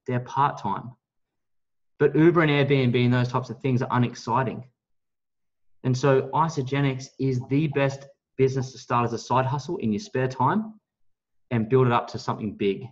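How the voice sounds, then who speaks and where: A man giving a motivational business pitch, speaking in an emphatic, blunt, salesy way with some mild swearing. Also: normal speed. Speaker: network marketing leader, guest speaker on a team call. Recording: dull, lo-fi call audio.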